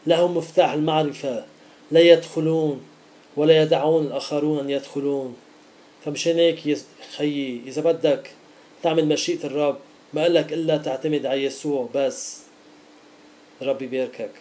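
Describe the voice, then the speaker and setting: Casting: male lay preacher, Levantine accent, warm dark tone, somewhat higher register, informal devotional teaching. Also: steady tone, fairly quick. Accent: Levantine Arabic.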